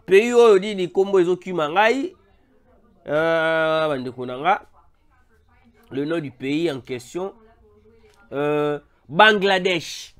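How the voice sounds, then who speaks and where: An online news commentator with a Congolese French accent and a head cold, animatedly addressing viewers in a voice-over. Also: medium pitch. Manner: animated, repeatedly calls out to viewers, mixes in Lingala. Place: voice-over over a still image.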